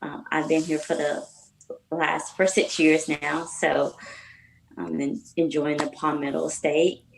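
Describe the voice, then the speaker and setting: A woman's voice: low voice, flat delivery, slow, creaky, slight background noise, low-quality recording. A woman from Atlanta, a runner and PE teacher answering interview questions in a friendly, upbeat way. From Atlanta, Georgia. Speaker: guest, marathon runner and PE teacher. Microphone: video call from home.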